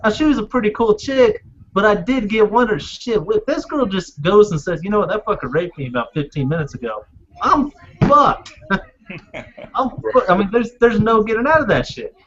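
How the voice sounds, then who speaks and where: A man's voice: high-pitched, expressive, normal speed, fairly bright tone. A blunt, crude man chatting casually and profanely as a podcast panelist. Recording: muffled lo-fi audio with faint noise.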